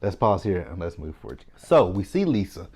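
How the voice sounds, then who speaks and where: Texan man, lower voice, sassy TV recap host, chatty and opinionated. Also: very animated, normal speed, darkish tone, breathy edge. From Texas. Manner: chatty, sassy, opinionated, talking straight to viewers. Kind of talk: casual TV episode recap.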